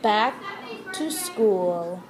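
A woman reading a children's picture book aloud, deliberately and phrase by phrase. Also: mid-range voice, expressive, background noise. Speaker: storybook reader.

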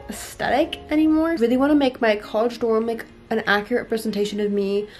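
A woman's voice: on the high side, darkish tone. A young woman in her late teens, congested with a cold, chatting casually and upbeat to a handheld camera at home, full of "like". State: congested, stuffy-nosed. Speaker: vlogger. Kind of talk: casual vlog chat. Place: sunroom at home, indoors. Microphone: camera held at arm's length.

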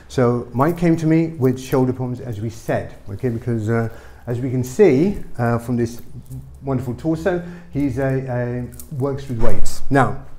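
A middle-aged man explains a patient's treatment with lively, emphatic energy. His voice sits at an ordinary pitch for a man. He is recorded on a camera mic in a small clinic room. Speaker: chiropractor.

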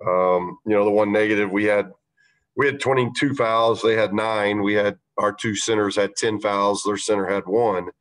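Low-voiced man, halting coach. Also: steady tone, a bit bright, rough recording. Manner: measured, halting, many fillers. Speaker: basketball coach. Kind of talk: post-game press conference answers.

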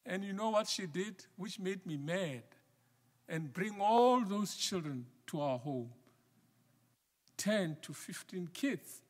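A middle-aged male minister preaching a sermon from the pulpit, in a measured style that sometimes drops to a near whisper for emphasis. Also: sits high, animated. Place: large wooden church sanctuary, at the pulpit. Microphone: pulpit microphone. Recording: long church reverb.

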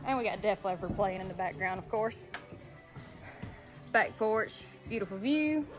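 A woman's voice: mid-range voice, lively intonation, measured pace, loud music behind the voice. A young woman with a Southern American accent giving a casual, easygoing update into a phone held at arm's length, outdoors at a house being built. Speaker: social media creator. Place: outdoors at a house under construction.

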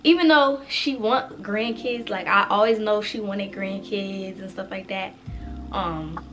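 A woman, chatting casually to camera as a vlogger, animated and talking straight to viewers, a little stuffy from a cold. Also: mid-range voice, measured pace, soft background music. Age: 20s. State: congested with a cold.

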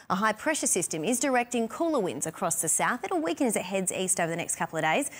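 An Australian woman reads the weather on television in a studio. Her pitch moves around in an animated, lively way as she runs through the forecast in a scripted broadcast style. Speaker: weather presenter. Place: TV news studio.

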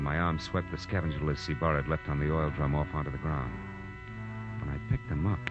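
An actor with an American accent playing a tough, wry private detective, terse and slangy, in 1940s radio style. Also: male voice, deep voice. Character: hard-boiled male private detective narrator, tough and wry. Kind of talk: 1940s radio acting, first-person detective narration. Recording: dull old radio recording with music.

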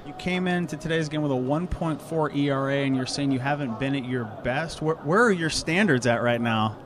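Upbeat young male sports interviewer. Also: medium pitch. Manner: upbeat, polished, reads stats off quickly. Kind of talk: post-game broadcast interview. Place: outdoor ballpark stands. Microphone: handheld mic. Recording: outdoor ballpark with crowd nearby.

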